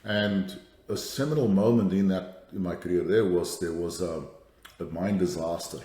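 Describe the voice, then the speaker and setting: Deep-voiced South African man, echoey. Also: normal speed, warm tone. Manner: thoughtful, many fillers and restarts. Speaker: guest, safety strategist. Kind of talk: podcast interview.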